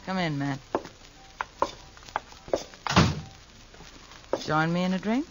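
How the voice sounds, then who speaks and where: An actress playing a world-weary, protective dance-hall madam in a 1950s radio Western, wry and challenging. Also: female voice, lowish voice, quick pace, natural voice. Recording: dull, hissy old radio recording.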